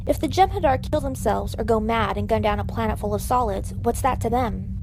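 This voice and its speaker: Higher-voiced blunt starship doctor played by a woman. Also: fairly quick, vocal fry, noisy background. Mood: cynical. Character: outspoken, embittered female starship doctor. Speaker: audio drama actor. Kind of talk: scripted sci-fi audio drama.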